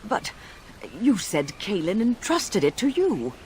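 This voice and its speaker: A woman playing a gentle, thoughtful warrior companion in a fantasy video game, soft-spoken, earnest and measured. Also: medium pitch, very animated, a little hiss or hum. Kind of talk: dramatic video game acting. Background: music.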